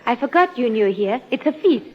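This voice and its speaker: A woman's voice: a little high, animated, moderate pace, husky. An actress playing a polite, playful young woman in old radio drama dialogue. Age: young adult. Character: poised, friendly young university-educated island woman. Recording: dull old radio recording.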